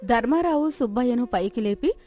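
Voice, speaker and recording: A woman tells a scripted moral tale in a fairly high voice. Music plays audibly behind her storytelling. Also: lively intonation, fairly quick, dark tone. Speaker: narrator.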